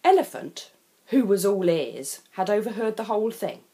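A woman reading a children's storybook expressively, leaning on key words with deliberate emphasis. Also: medium pitch, big pitch swings, dark tone. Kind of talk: scripted storybook narration for children.

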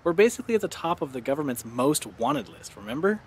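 A man's voice: a little high, very animated, fast talker, creaky, darkish tone, slightly rough, exaggerated voice. A man playing a wary, boyish fugitive in a sci-fi audio drama.

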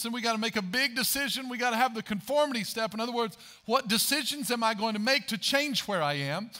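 High, bright-voiced man preaching on stage. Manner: preaching with rising emphasis and rhetorical questions. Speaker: pastor. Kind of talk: sermon. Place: large church stage with audience.

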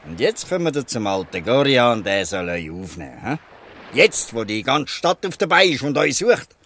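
An actor playing a gruff but good-hearted old fisherman in a Swiss German dialect radio play, scolding and exclaiming. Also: mid-range voice, sing-song, normal speed, a bit bright, a little hiss or hum. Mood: exasperated, scolding. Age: older.

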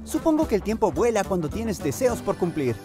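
A woman voicing a cheerful, kind young boy tank engine in a Latin American Spanish children's cartoon dub, expressive and earnest. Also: medium pitch, brisk, natural voice. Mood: delighted. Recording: music under the dialogue.

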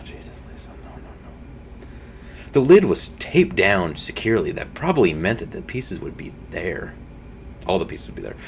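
A young man reading a scary story aloud at home, tripping over words now and then and adding quiet asides. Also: deep voice, a little hiss or hum. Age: young adult. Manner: reads with slips and restarts, drops to quiet asides. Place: home streaming room, indoors.

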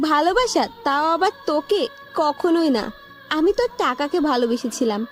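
A very high, animated female voice a woman acting plays a money-minded young girlfriend. It is melodramatic love-story audio with music behind the dialogue. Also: a little slow. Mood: cold, scornful. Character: scornful, money-hungry young girlfriend, later begging forgiveness.

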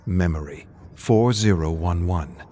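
Low-voiced man narrating a dramatic story. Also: unhurried, mellow, a little hiss or hum.

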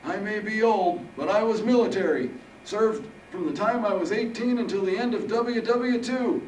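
A man voicing a stubborn, wisecracking old military veteran in a storybook reading, with folksy, gruff talk. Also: high-pitched, moderate pace, darkish tone, pitched above the performer's own voice, natural voice. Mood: defiant, teasing. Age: older. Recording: roomy, distant mic, faint noise.

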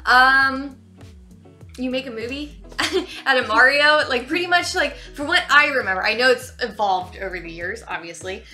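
A woman's voice: high voice, animated, moderate pace, pushed and bright, soft background music. A woman in her thirties chatting casually and informally as she hosts a movie reaction, often trailing off mid-thought. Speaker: host of a movie reaction video. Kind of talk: casual chat, reacting to a film. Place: living room couch, indoors.

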